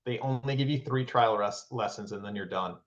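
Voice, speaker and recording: A man's voice: fairly low pitch, brisk. A man co-hosting a podcast, teasing his partner in a dry way. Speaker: podcast co-host. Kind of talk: casual podcast banter. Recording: dull lo-fi mic.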